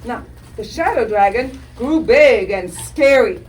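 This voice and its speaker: A woman hosts a school performance at an unhurried pace, her delivery swinging widely as she announces and narrates. She is encouraging and directing, as if to children on a stage. The mic is some distance away and music plays behind her. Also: a little high, animated. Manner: encouraging, directing, repeats lines loudly for children. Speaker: teacher hosting a school performance. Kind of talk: announcing, prompting children, dramatic story narration.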